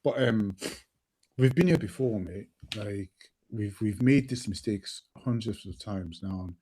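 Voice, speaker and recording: Low mellow man, sniffly. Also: measured pace, a little hiss or hum. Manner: passionate, repeats words while thinking, swears. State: sniffly from hay fever. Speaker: podcast co-host. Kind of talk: casual football podcast chat.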